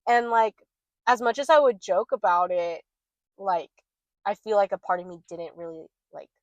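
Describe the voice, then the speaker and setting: A woman's voice: medium pitch, normal speed, dry recording, highs cut off. A young woman co-hosting a podcast, chatting candidly and rambling with lots of "like". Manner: rambling, heavy use of "like", candid. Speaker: podcast co-host. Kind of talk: casual chat.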